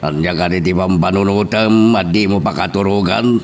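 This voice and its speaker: A man's voice: sits low, normal speed, lightly acted. A man playing an arrogant, scheming landowner in an audio drama, with a commanding, scoffing manner. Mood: stern. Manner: commanding, scoffing, taunting his henchman. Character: arrogant landowner senyor plotting and scoffing at threats. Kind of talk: radio-style Ilocano drama acting. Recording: distant mic, faint background noise.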